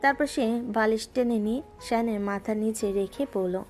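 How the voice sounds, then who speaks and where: A woman narrates a love story in a gentle, scripted storytelling style. Her voice sits a little high. Soft music plays behind her. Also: a little fast. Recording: music bed underneath.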